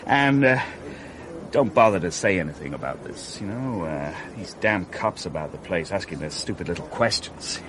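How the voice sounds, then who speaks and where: Touchy, edgy film star, noisy background. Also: male voice, low voice, expressive, normal speed. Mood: defensive, edgy. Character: touchy, defensive male film actor, cynical and irritable. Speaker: actor in radio drama. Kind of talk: radio mystery drama acting.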